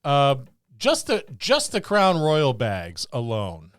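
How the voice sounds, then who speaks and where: A man with a clear, smooth voice and a dry, close sound. He chats casually as a podcast co-host, grumbling in a wry, joking way. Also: medium pitch, wildly swooping pitch, slow, a bit bright, no room sound. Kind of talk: casual banter among co-hosts.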